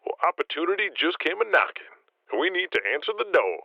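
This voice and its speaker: A man's voice: high voice, very animated, quick pace, vocal fry, pushed and bright, no room sound, voice pushed up, heavily acted. A man voicing a chummy, scheming promoter through a telephone filter in an audio drama. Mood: excited. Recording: thin, muffled telephone-style sound.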